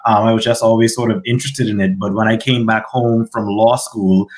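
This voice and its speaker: A man's voice: on the low side, fairly even intonation, a little fast. A man, giving articulate, polite interview answers as a guest. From the Bahamas. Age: 30s. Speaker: guest, senator and attorney.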